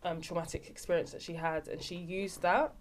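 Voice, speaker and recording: A young woman chats as a podcast guest about her acting projects. Her voice sits a little low for a woman. She talks freely and often stops and restarts a sentence as she explains. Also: steady tone, a little fast, a little hiss or hum. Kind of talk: casual chat.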